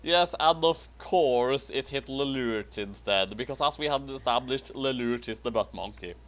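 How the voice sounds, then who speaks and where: A man's voice: medium pitch, lively intonation, measured pace, a bit bright. Man giving casual comedic commentary. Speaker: commentary host reading fan fiction aloud. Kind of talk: casual comedic commentary with read-aloud narration.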